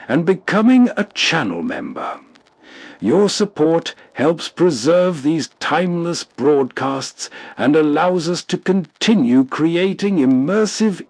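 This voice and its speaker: A male channel narrator who closes the episode with soft, unhurried, cozy scripted narration. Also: on the high side, dry recording. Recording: dry close voice-over.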